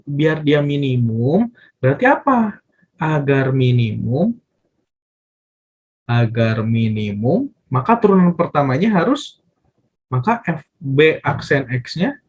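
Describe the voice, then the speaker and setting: A man's voice: on the high side, slightly rough. A man explaining math step by step as a teacher, often asking questions and answering them himself and repeating phrases. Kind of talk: casual step-by-step tutorial explanation.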